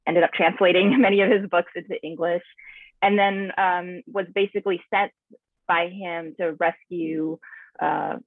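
A woman's voice: lowish voice, fairly even intonation, unhurried, no echo. Thoughtful woman on a tinny line. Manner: thoughtful, searching for words. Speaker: naturalist and author, interview guest. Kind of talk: reflective interview answers.

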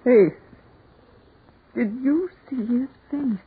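An American actress playing an impatient, highly strung woman in a 1940s radio horror play. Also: very slow, slightly rough, narrow, tinny audio, heavily acted. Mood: frightened. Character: impatient, scornful woman turning fearful. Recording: noisy band-limited 1940s radio recording.

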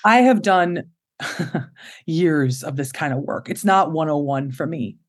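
Low-voiced woman in her thirties, candid. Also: measured pace. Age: 30s. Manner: expressive, open, laughs often. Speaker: podcast guest. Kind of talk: candid conversational interview.

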